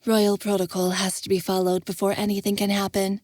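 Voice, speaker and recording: Gentle queen-mother type who calms others, read by a woman. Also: medium pitch, fairly quick, dry recording, studio quality, lightly acted. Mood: soothing. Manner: soothing, gentle. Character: gentle royal mother, middle-aged woman.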